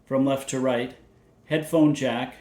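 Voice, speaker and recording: A man with a mid-pitched voice narrates a scripted technical overview. He sounds measured and matter-of-fact as he works through details like a presenter reading specifications. The sound is noticeably roomy, with clear echo around the voice. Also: fairly even intonation, darkish tone. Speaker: presenter of vintage radio videos. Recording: roomy, echoey indoor sound.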